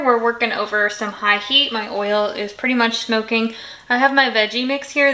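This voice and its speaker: A woman's voice: fairly high pitch, bright, forceful tone. A young woman hosting a cooking video in her home kitchen, explaining each step in a friendly, chatty way. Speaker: cooking channel host. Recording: echoey kitchen with sizzling pan noise. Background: frying and stirring sounds.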